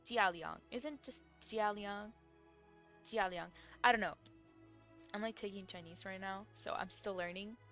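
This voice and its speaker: Bright-voiced woman reacting playfully. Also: medium pitch, steady tone, a little slow, soft background music. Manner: playful, sarcastic, questioning. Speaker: streamer reacting to a game story. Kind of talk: casual reaction commentary.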